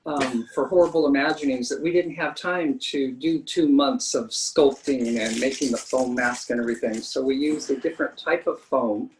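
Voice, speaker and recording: A man's voice: mid-range voice, moderate pace, few pauses, slight background noise, low-quality recording. A man working as a special effects artist, telling stories in a chatty, enthusiastic way during an interview. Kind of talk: casual interview answers.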